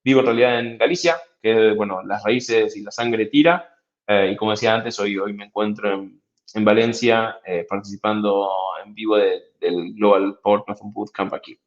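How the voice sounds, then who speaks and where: A man's voice: lowish voice, fairly even intonation, moderate pace, highs cut off. A man from Argentina with an Argentine Spanish accent gives a reflective conference talk, with many fillers and restarts. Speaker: conference speaker.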